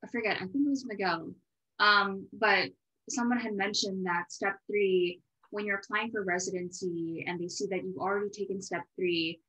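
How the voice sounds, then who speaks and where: A woman's voice: medium pitch, fairly even intonation, normal speed, bright, forceful tone, roomy sound, muffled recording. A woman hosting a casual interview in a chatty, rambling way, often interrupting herself. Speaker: interview series host.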